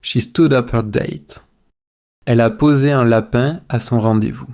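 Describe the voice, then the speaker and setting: Slow, measured man reading drills. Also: medium pitch, darkish tone. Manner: measured, repetitive phrase-by-phrase delivery. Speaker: language teacher.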